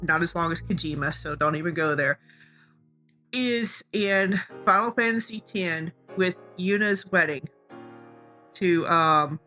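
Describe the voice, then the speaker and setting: A woman's voice: lowish voice, a little slow, sharp tone. A woman co-hosting a casual advice talk, speaking informally and conversationally. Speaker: co-host of a game-making show. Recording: music behind the voice. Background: background music.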